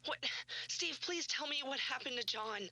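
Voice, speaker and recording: An actress playing a distraught, defiant widow, emotional and insistent, in a found-footage horror drama. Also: female voice, sits high, animated, normal speed, bright, forceful tone, heavily acted, low-quality recording. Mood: frightened, pleading.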